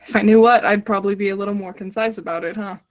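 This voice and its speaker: A woman's voice: mid-range voice, fairly even intonation, fast talker, natural voice. An actress playing a wistful, chatty woman in a conversational stage monologue, musing reflectively as if confiding in a listener. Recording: dull lo-fi conference call audio.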